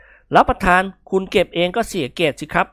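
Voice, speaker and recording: A woman's voice: fairly low pitch, expressive, fast, a bit bright, no echo, clean studio sound, pitched above the performer's own voice. A woman voicing a greedy male servant who is sly and mock-deferential in a comic story. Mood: cheeky, gleeful. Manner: servile verbal tic, sly.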